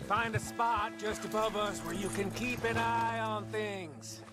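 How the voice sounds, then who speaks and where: An actor playing a cocky American cowboy outlaw in a western game, with a swaggering delivery. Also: male voice, high-pitched, fairly even intonation, measured pace. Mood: commanding. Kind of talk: cinematic video game acting. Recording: loud music behind the voice.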